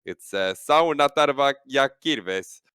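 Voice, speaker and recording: A man casting esports in a casual, energetic post-game chat. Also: mid-range voice, slow, clean tone, no room sound, clean studio sound. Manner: energetic, excitable, loud sign-offs. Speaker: esports caster.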